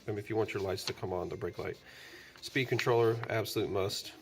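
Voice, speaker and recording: A man's voice: lowish voice, fairly quick. A man hosting a casual DIY walkthrough, thinking aloud and tallying parts as he goes. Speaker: DIY video host. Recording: noisy background.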